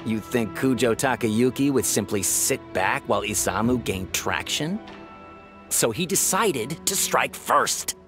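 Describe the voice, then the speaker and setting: A man's voice: medium pitch, animated, unhurried, over music, close to an everyday voice. An actor playing an opinionated younger policeman in a video game, arguing his suspicions in an insistent, emphatic way. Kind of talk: video game voice acting, dialogue.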